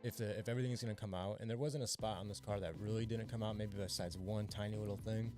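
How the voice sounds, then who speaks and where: A man hosting a podcast, chatting quickly and eagerly about detailing work with his co-host. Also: lowish voice, fairly even intonation, over music. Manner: fast, upbeat and chatty. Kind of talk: casual chat.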